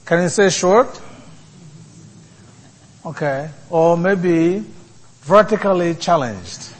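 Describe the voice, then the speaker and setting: A man giving a sermon as a priest, speaking in short, deliberate phrases with many pauses. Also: high voice, slight background noise.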